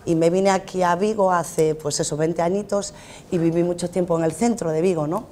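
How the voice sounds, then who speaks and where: A woman's voice: lowish voice, fairly even intonation, brisk, mellow, a little hiss or hum. A woman from Madrid talking enthusiastically and effusively in a TV studio debate. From Madrid, Spain. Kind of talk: casual debate talk.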